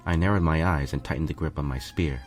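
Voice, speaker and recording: A man's voice: very deep voice, fast talker, darkish tone, soft background music. A man reading a first-person fan fiction story as scripted narration.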